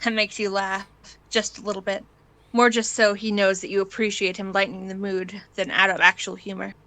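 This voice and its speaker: A woman's voice: medium pitch, level tone, brisk, sharp tone, no echo, low-quality recording. A woman narrating a piece of fan fiction in the second person, as an amateur reader.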